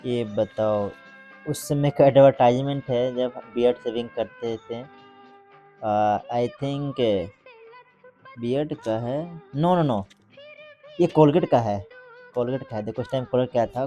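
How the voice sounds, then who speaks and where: A man from Bihar gives casual, informal vlog commentary, reading English phrases aloud haltingly. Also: medium pitch, mellow, faint music behind. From Bihar, India. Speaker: vlogger.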